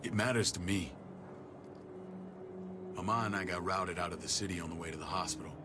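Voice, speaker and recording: An actor playing a gruff, commanding military man and protective older brother, blunt and profane, in a cinematic video game. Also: sits low, fairly quick, background noise, lightly acted. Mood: earnest, regretful. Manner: blunt, profane, clipped orders. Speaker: security chief of a survivor settlement.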